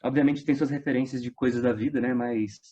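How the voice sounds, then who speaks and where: A man's voice: mid-range voice, fairly even intonation, a little fast, dark tone, breathy edge. A man telling a story casually as an interview guest, slangy and conversational. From São Paulo state, Brazil. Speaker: interview guest, audio drama creator. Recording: dull lo-fi online interview audio.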